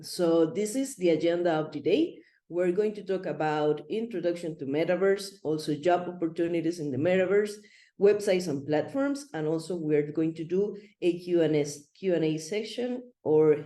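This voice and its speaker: A woman's voice: fairly low pitch, moderate pace, dark tone, roomy sound. A middle-aged woman from Rica, giving an online presentation in a friendly, rambling way with many fillers and restarts. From Costa Rica. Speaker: web3 consultant presenter.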